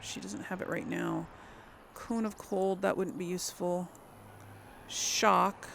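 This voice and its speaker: Woman doing a lets play, slow n hesitant, lots of vocal fry, bit of noise behind her. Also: on the low side, steady tone, a bit bright. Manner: hesitant, musing, trailing off. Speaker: let's player hosting a role-play playthrough. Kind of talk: casual gaming commentary, thinking aloud.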